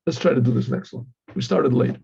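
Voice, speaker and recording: A man with a husky, mellow voice teaches a religious lesson in a conversational way, on slightly dull audio. He reads Hebrew lines, translates them, and repeats himself for emphasis as a rabbi would in class. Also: medium pitch, brisk. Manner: conversational, acts out dialogue, repeats phrases, frequent throat clearing.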